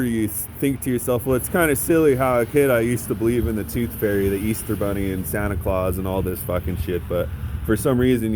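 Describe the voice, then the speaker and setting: A man's voice: on the low side, noisy background. A man giving a blunt, profane monologue, full of sarcastic asides. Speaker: vlogger.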